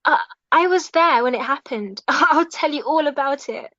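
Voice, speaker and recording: A woman's voice: high-pitched, moderate pace, sharp tone, dry recording, highs cut off. A woman playing a shy, earnest reformed outcast in a biblical audio play, hesitant and heartfelt. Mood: helpful.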